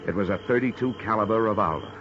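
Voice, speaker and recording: A male actor plays a hard-boiled American private investigator with a low voice and a deadpan, wisecracking edge. He sounds like old radio noir, in a dull lo-fi recording with music playing behind. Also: fast talker, lightly acted. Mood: wry, tense narration. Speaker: actor narrating and playing a detective.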